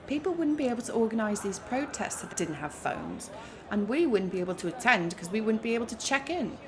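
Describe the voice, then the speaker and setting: An actress playing a smug, self-absorbed young protester in a comic audio drama, breezy and sure of herself while clueless. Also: female voice, a little high, very animated, brisk, crowd noise. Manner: breezy, know-it-all, self-assured.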